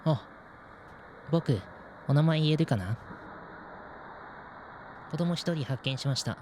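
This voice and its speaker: Man as vigilante rescuer, fairly high voice, polite, plain-spoken, noisy drama recording. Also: animated, slow pace. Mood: calm, concerned. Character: male vigilante rescuer checking on a child. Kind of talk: acted radio-drama dialogue.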